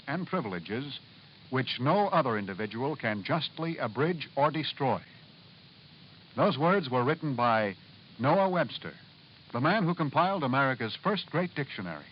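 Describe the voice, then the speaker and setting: Formal vintage radio announcer, male. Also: medium pitch. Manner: formal, measured oratory. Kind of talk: scripted public-service narration, vintage radio. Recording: dull, faintly noisy vintage radio broadcast.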